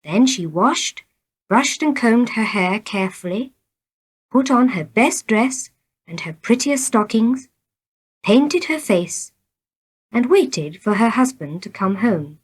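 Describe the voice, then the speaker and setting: A woman reading short tales as scripted narration, in a measured, deliberate manner. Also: a little high, animated, slightly rough, rough recording. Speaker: storybook reader.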